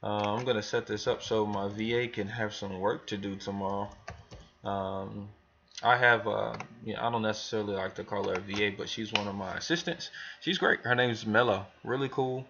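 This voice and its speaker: A man giving a casual, rambling software tutorial for new hires, thinking aloud as he talks through each step. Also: lowish voice, normal speed, vocal fry, muffled recording, a little hiss or hum. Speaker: business trainer demonstrating software to new hires. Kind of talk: casual screen tutorial, talking through steps.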